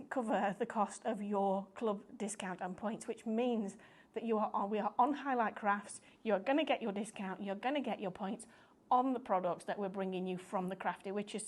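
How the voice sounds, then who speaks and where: A woman presenting a live craft shopping show, chatty and upbeat, explaining things step by step, her voice a little croaky. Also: mid-range voice. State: slightly croaky voice.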